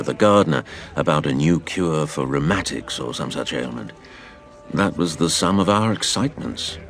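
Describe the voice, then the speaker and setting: Audio drama: wry middle-aged father spinning a yarn, low creaky voice, animated intonation. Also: exaggerated voice. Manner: measured, expressive storyteller. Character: fond, wry father, storytelling former innkeeper's son.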